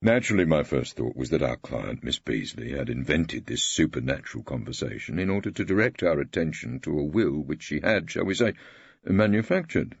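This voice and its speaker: A man as a lugubrious, husky old solicitor. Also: a bass voice, animated, no room sound, dull audio, full character voice. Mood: grave, eloquent. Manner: lugubrious, measured, formal and eloquent. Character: lugubrious, formal solicitor, polite older gentleman.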